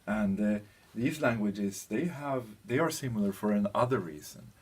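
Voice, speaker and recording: A European man giving an informal explanatory lecture as a teacher, speaking in halting phrases with pauses and a husky voice. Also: fairly low pitch, animated.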